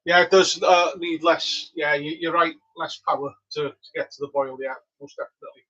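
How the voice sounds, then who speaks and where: Welsh-accented homebrewer with a lo-fi sound. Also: male voice, on the high side, a little fast. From Wales. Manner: relaxed, chatty, answers viewers. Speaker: homebrewer streaming live. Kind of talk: casual live-stream chat. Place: home brewery.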